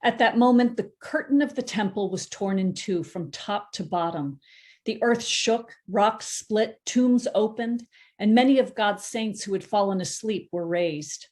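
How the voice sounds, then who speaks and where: Woman narrating scripture, steady and measured. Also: medium pitch. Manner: steady, measured narration with small self-corrections. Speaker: scripture reader. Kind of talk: dramatic Bible reading in church.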